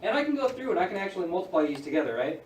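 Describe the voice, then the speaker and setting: A man's voice: high voice, big pitch swings, racing, slight background noise. A male math teacher walking a class through problems step by step. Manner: plain, coaching students step by step. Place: high school classroom. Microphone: room mic from across the room. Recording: echoey classroom, mic far off.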